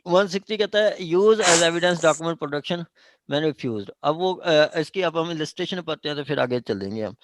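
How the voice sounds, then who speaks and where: A man with a Pakistani accent explaining law to a class informally and haltingly, often checking that they follow. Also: on the high side, expressive, no echo. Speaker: law lecturer. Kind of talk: informal classroom legal explanation with question and answer.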